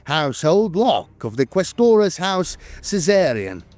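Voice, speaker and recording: A man reading dramatic scripted lore narration in a theatrical, deliberate way with weighty emphasis. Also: fairly high pitch, sing-song, a little mellow, no room sound. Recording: music bed behind a dry voice. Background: music.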